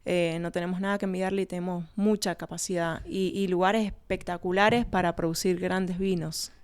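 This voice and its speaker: A woman speaks as an interview guest with an Argentine accent. Her voice is clear and smooth, and she answers in a conversational style. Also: fairly low pitch, fairly even intonation, a little fast, darkish tone. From Argentina, born in Colombia. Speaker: winery research and communication manager, interview guest.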